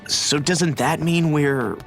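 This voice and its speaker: A man voicing a blunt, excitable teenage boy fighter in a dramatic video game dub. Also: on the high side, slow, gravelly, faint music behind. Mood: puzzled.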